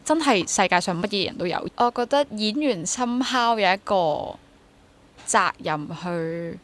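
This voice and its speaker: A woman's voice: medium pitch, expressive, measured pace, fairly bright tone. A young adult woman with a Hong Kong accent chats casually and reflectively as an interview guest, mixing in English words. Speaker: interview guest, theatre actor.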